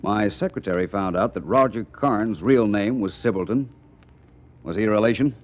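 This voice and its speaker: A man's voice: lowish voice, normal speed, close to an everyday voice. An actor playing an unflappable, urbane male private detective with wry, formal wording, in 1940s radio mystery style. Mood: cool, probing. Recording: muffled vintage radio recording.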